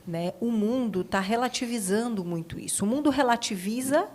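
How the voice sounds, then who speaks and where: A woman's voice: a little high, moderate pace, darkish tone. A Brazilian woman hosting a podcast in a conversational style, summarizing and affirming what her guest says. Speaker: podcast host. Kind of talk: conversational interviewing.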